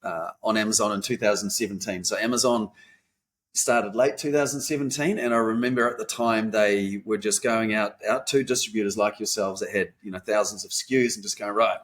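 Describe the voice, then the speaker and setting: A man's voice: on the low side, fairly quick, fairly bright tone. A man hosting a podcast interview, asking questions with frequent encouraging affirmations. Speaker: podcast host.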